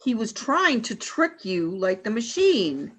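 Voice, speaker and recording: A cold, commanding alien leader, played by a woman in a radio play. She gives stern, clipped orders, and her pitch rises and falls in a lively way. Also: takes it slowly, exaggerated voice.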